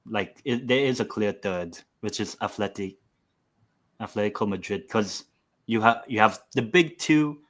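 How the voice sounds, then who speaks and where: A man's voice: lowish voice, a little slow, fairly bright tone. A man chatting casually about football on an online call, chiming in with points. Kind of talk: casual chat.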